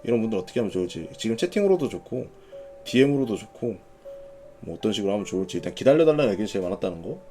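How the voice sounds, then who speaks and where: Male community host for a live gaming announcement: relaxed, warm tone, animated pitch movement, conversational and explanatory. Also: very fast, soft background music. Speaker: gaming clan leader hosting announcement broadcast. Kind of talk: informal live announcement, reading notes and commenting.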